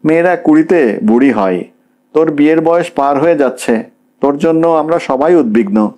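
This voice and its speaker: A scolding, anxious middle-aged mother in an audiobook story, voiced by a man. The voice is lifted in pitch, exclaiming and moralizing with orthodox severity. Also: animated, moderate pace, dark tone, lightly acted. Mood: anxious urging.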